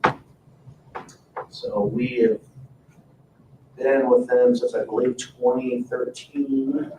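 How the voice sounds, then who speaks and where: A man presenting agenda items informally at a council meeting, in a halting, matter-of-fact way. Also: medium pitch, big pitch swings, creaky, a little mellow. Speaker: town staff member presenting agenda items. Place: indoor town council meeting room. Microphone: distant room microphone. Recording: echoey meeting room, distant mic, faint noise.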